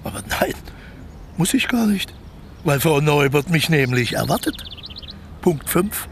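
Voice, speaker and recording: Creaky-voiced, scheming crook. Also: male voice, a little high, big pitch swings, measured pace, slight background noise. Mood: sly, confident. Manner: persuasive, cocky, explains patiently. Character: scheming, smooth-talking male crook planning a theft. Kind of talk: naturalistic German radio play dialogue.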